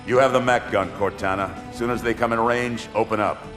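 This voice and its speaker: A deep, authoritative older navy commander, acted by a man in a game cutscene. He speaks formally and deliberately, in a roomy space with orchestral score behind him. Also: steady tone, over music, lightly acted. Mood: urgent, commanding. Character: grave, authoritative older navy fleet admiral. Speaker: military commander giving orders. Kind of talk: dramatic game cutscene acting.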